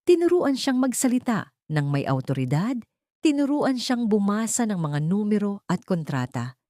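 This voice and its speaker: A woman's voice: lowish voice, dark tone, dry recording, clean studio sound. A woman with a Filipino accent narrating a scripted drama story in a solemn, theatrical storytelling manner.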